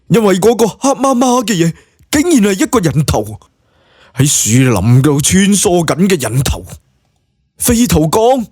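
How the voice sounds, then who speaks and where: A man's voice: a little high, a little mellow, slightly rough, no room sound, clean studio sound. A man with a Cantonese accent narrating an audiobook story in a dramatic, colloquial storytelling style.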